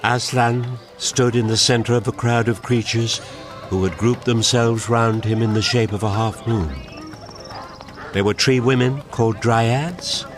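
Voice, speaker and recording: A man narrating a children's story in a measured, descriptive, expressive way. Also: on the low side. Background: music.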